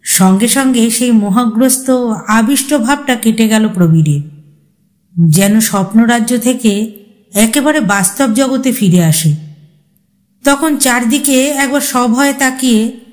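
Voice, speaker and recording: A woman narrating a horror story as a storyteller, with a suspenseful, expressive dramatic reading. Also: fairly high pitch, unhurried, long pauses.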